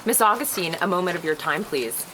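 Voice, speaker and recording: A woman's voice: on the low side, lively intonation, fairly quick, background noise, lightly acted. A woman at a table read playing an anxious, apologetic, deferential care home manager. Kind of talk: screenplay table read acting.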